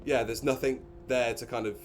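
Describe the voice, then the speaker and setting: A man's voice: mid-range voice, fairly even intonation, moderate pace, faint music behind. A man chatting casually as he co-hosts a film commentary, with a dry, mocking manner. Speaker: commentary co-host.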